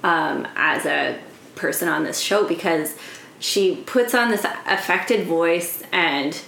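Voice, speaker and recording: Give me a woman co-hosting a podcast, a bit low-pitched, chatty with filler words. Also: slow pace, vocal fry, fairly bright tone, a little hiss or hum. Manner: hedging, filler-heavy explainer. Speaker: podcast co-host. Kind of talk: casual chat. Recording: roomy echo.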